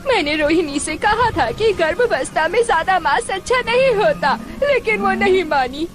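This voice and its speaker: A woman's voice: extremely high pitch, expressive, moderate pace, a faint crowd. A woman voicing a stern, protective mother dinosaur in a Hindi cartoon dub, scolding and earnest. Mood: worried.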